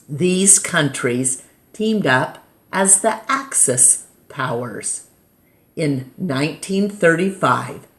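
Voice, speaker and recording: Low-voiced American woman reading slowly aloud. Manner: deliberate, simple phrasing with pauses between short phrases. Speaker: storytime reader, teacher. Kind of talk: read-aloud of a children's nonfiction book.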